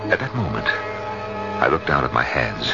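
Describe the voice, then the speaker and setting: Deep-voiced, brooding jealous husband. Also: male voice, very animated, unhurried, creaky, heavily acted. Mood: brooding anguish. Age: middle-aged. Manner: brooding, intense, dramatic. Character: jealous, anguished middle-aged husband, suspicious of his wife. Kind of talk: 1970s radio drama acting and narration. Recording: muffled vintage radio broadcast, music underneath.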